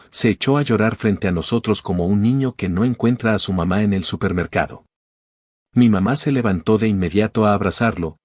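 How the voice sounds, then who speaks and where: Husky low-voiced man narrating. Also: no room sound, clean studio sound. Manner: steady storytelling read. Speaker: story narrator. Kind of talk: scripted first-person narration.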